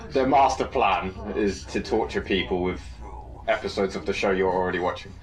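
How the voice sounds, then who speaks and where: A man's voice: low-pitched, normal speed, low-quality recording. A man who made and acted in the film, talking casually on a cast commentary. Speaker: filmmaker and actor on a cast commentary.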